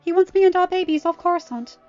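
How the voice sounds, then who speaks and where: A woman's voice: very high voice, fast talker, a little mellow, dull audio, faint music behind, voice pushed up. A woman voicing an anguished, tender yet commanding young noblewoman in an audiobook, emphatic and desperate. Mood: earnest.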